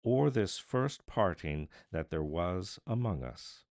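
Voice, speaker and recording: Guy doing a kind earnest storybook dad, tender and emphatic, big swings in pitch, bit gravelly. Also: expressive, slow pace, darkish tone, dry recording, studio quality, exaggerated voice. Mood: tender, moved. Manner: earnest, exclaiming, with repeated emphatic phrases. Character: kindly, tender working-class father, earnest and moved.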